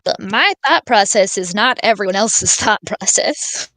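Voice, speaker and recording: A woman's voice: medium pitch, lively intonation, measured pace, sharp tone, no echo. A woman chatting as a podcast panelist in an informal, jokey way. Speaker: podcast panelist, script writer. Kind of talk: casual chat.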